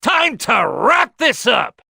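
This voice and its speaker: An actor voicing a brash, gung-ho male crocodile detective boss in a gruff, raspy video game voice. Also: extremely high pitch, huge pitch swings, takes it slowly, pushed and bright, no room sound, studio quality, full character voice. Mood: fired up.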